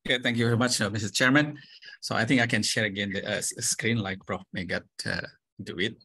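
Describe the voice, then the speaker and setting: Middle-aged man, low dry voice. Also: fairly even intonation, sharp tone, slightly rough, dry recording. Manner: halting, frequent fillers and restarts. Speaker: panel speaker, professor. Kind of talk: conference presentation. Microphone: video call.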